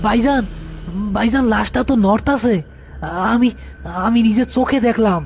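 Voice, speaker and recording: Man playing a high-voiced, frightened young gravedigger. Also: steady tone, creaky, soft background music. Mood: terrified. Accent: rural Bangladeshi. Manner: fearful, halting, repeats words. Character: frightened, superstitious young male gravedigger's helper.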